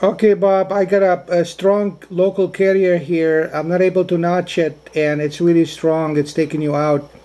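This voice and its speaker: A man's voice: sits high, flat delivery, moderate pace, hardly any pauses, mellow. A man operating an amateur radio indoors, speaking in radio procedure phrases and repeating key words. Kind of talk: ham radio contact, short spoken exchanges. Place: indoors in a cabin.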